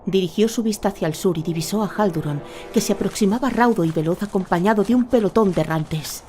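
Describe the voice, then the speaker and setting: A woman's voice: mid-range voice, fast, dark tone, soft background music. A woman narrating a fantasy audio drama in a scripted, dramatic storytelling style.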